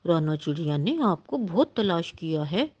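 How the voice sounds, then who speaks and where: A woman's voice: fairly low pitch, sing-song, normal speed, a little mellow, dull audio, pitched below the performer's own voice. A woman voicing a helpful, cautious male pigeon who gives concerned advice in a cartoon story. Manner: concerned, advising.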